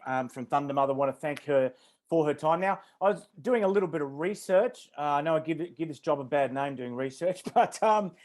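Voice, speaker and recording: A man's voice: a little high, lively intonation, fairly quick, a little mellow. A man hosting an interview, asking long rambling questions full of ums and restarts. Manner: hesitant, many ums and restarts, chuckles. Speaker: interview host.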